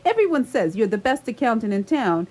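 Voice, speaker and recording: A woman's voice: a little high, sing-song, a little fast, a little mellow. A woman playing a nervous, evasive man in his mid-thirties who stammers and rambles in a radio theatre comedy. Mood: awkward, complaining.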